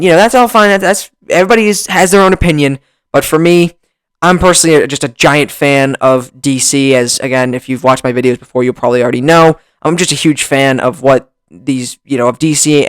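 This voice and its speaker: Excitable rambling man, smooth dry voice. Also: medium pitch, no echo. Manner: excitable, rambling with many restarts. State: slightly hoarse from long recording. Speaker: YouTuber. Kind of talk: casual commentary vlog. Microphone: desk mic, bumped at times.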